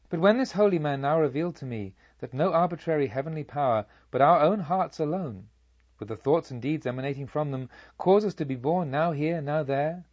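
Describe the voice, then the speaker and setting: Male audiobook reader: expressive literary narration, deliberate phrasing, lively intonation, somewhat muffled sound. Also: a little high, no room sound.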